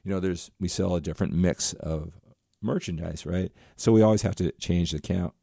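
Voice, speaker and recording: Man, deep gravelly voice, middle-aged, rambling earnest monologue, dark tone, dry close sound. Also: normal speed, no room sound. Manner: rambling, earnest, addresses viewers directly. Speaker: motivational vlogger. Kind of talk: casual motivational monologue.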